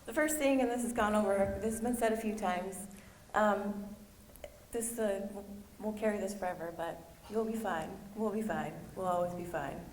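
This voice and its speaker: Woman, soft voice, nervous, hesitant, lots of ums, echoey room, clear background noise. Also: mid-range voice. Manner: hesitant, halting, with many ums. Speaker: nursing graduate giving a speech. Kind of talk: nervous speech with fillers.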